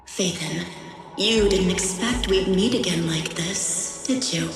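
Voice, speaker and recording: An actress playing a menacing phantom woman in a video game, taunting and deliberate, with an echoing effect on her voice. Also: on the low side. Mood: taunting, cold. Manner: taunting, deliberate, coolly accusing. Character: menacing, taunting female phantom from the heroine's past. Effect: echoing reverb. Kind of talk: dramatic video game villain acting. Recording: heavy echo, distant, music behind.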